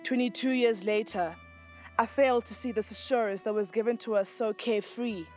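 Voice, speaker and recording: A woman performs spoken-word poetry with a measured, rhythmic delivery. Her voice sits fairly high. Soft instrumental music plays faintly beneath her. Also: fairly even intonation. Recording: music playing underneath.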